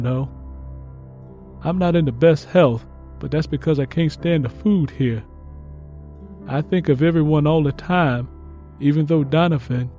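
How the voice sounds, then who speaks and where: A man's voice: on the high side, warm tone, natural voice. A man playing an earnest young Mississippi field hand turned soldier, reading out letters home with plain rural phrasing in an audiobook. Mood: homesick, earnest. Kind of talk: first-person letter read in audiobook.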